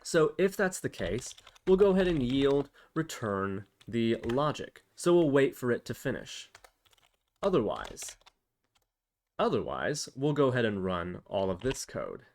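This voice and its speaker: Man narrating a tutorial with lively, swooping pitch. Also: a little slow. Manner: explanatory, thinking aloud while demonstrating. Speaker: programming tutorial presenter. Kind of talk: casual step-by-step software tutorial narration.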